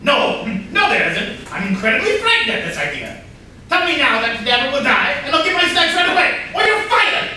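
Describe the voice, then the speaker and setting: A man's voice: very high voice, very animated, a little fast, pushed and bright, a little hiss or hum, exaggerated voice. A man playing a childish, melodramatic, petulant rich boss in a comedy stage reading. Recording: very echoey room, distant mic.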